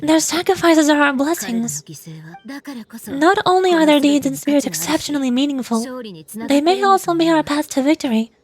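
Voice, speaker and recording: A woman's voice: high-pitched, normal speed, natural voice. An actress playing a noble, resolute female leader in a video game, speaking in an earnest, stately and formal way.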